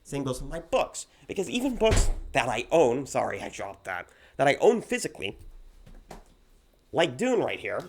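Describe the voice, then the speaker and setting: A man's voice: medium pitch, sing-song, normal speed, creaky, background noise. A man hosting a solo news commentary show, sick and nasal, talking in an opinionated way with frequent restarts.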